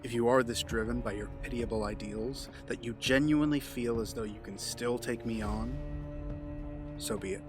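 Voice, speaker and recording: An actor playing a calm, condescending, menacing villain mastermind in an audio drama, measured and taunting. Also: male voice, medium pitch, music in the background, natural voice. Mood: coolly confident.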